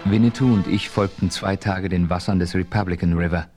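A man playing a steady, heroic frontiersman who also narrates, in a measured, composed radio-play delivery. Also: low voice, over music, natural voice. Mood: calm, resolute. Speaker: first-person narrator and hero. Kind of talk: 1970s German radio play acting.

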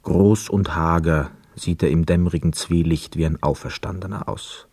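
A pensive war veteran telling his story in a radio play. His deep, dark voice is measured and brooding, recorded dry and close. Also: fairly even intonation, dry recording. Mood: brooding, wondering. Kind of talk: radio play first-person narration.

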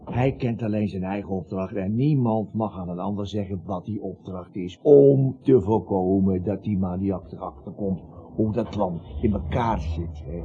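An actor playing an officious, secretive conspirator in a radio play, explaining things with insistent, halting self-importance. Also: male voice, deep voice, warm tone, natural voice. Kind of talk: Dutch radio play acting. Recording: muffled, dull old radio-play recording.

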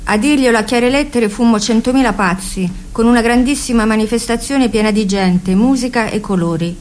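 A woman's voice: fairly high pitch, a little fast, darkish tone, slight background noise. A woman reading a scripted first-person account for radio in a storytelling manner. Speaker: reader.

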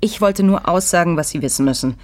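An actress playing a guarded, proud film make-up artist with a clipped, defensive manner in a radio drama. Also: female voice, lowish voice, fast talker, no echo, lightly acted.

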